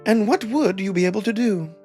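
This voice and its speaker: A man's voice: high-pitched, brisk, darkish tone, soft background music, voice pushed up. A man voicing a haughty, bitter female wolf noble from a visual novel, curt and disdainful. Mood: scornful. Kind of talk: visual novel character reading.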